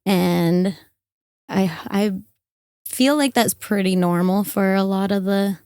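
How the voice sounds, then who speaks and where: A young adult woman, slightly hoarse from karaoke, chatting casually as a podcast guest with many fillers and trailing phrases. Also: medium pitch, steady tone, slow pace, darkish tone, no room sound, clean studio sound. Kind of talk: casual interview chat. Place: van-life festival in the desert.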